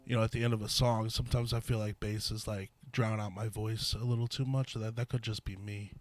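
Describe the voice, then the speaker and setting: A rapper with a low voice chatting casually and curiously, rambling with many fillers. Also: male voice, level tone, a little fast, no room sound. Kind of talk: casual studio chat. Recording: loud music playing behind.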